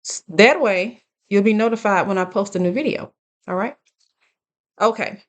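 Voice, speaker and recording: A woman in her late thirties giving casual, earnest life advice straight to her viewers, speaking deliberately and checking in with them. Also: medium pitch. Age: late 30s. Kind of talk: casual direct-to-camera advice talk.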